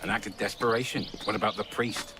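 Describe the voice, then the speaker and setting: A man's voice: fairly low pitch, quick pace, loud music behind the voice, natural voice. An actor playing a sardonic male criminal conspirator in a game, dry and contemptuous. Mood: sneering, mocking.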